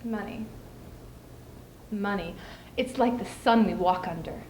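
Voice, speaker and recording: A young woman performing a stage monologue as a spirited, scheming widow, speaking emphatically with deliberate theatrical pauses. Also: medium pitch, lightly acted. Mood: tired, pleading. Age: young adult. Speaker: student speech team performer. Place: indoor school showcase stage. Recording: echoey room, clearly audible background noise.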